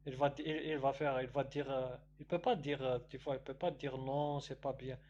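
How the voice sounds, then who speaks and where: A man's voice: mid-range voice, level tone, normal speed, a little mellow, highs cut off. A man who is a native Arabic speaker, debating casually and often restarting his phrases. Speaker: debate panelist. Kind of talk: casual live debate chat. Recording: noisy mic with a hum.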